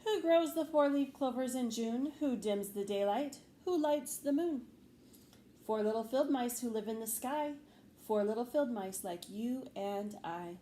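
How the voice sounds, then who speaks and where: Woman reading as little male mouse, storybook poet, calm, dreamy, deliberate, slightly high voice, noisy recording. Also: echoey room. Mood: reciting. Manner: slow, deliberate, simple delivery.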